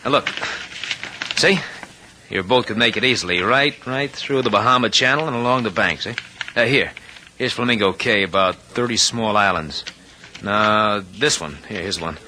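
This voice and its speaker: A scheming young college man in an old radio thriller, with a very bright, hard-edged voice on the low side. He is eager and slick, heard through faint vintage noise. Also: moderate pace. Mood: eager, insistent. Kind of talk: 1940s-50s radio drama acting. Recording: noisy vintage radio recording.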